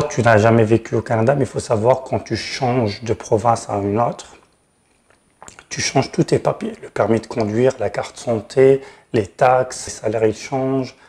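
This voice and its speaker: A man's voice: mid-range voice, warm tone. A man from Tunisia, speaking as host and consultant in an explanatory advice video, frankly and directly, stressing key words. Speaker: channel host, regulated immigration consultant.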